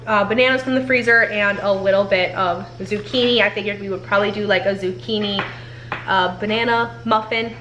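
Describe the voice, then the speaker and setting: A woman's voice: mid-range voice, a bit bright. Chatty young woman in an echoey kitchen. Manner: chatty, rambling, upbeat. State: slightly congested from a head cold. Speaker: homestead cooking vlogger. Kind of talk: casual kitchen vlog chat. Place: home kitchen. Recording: echoey kitchen, faint room noise.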